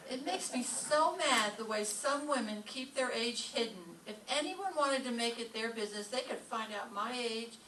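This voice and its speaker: A woman playing a sharp-tongued English teacher with dry, sarcastic delivery in an old-time radio comedy, recorded from a distance in a roomy space. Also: on the high side, lively intonation, moderate pace, few pauses. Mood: jealous, sardonic. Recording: distant roomy stage pickup, faint noise.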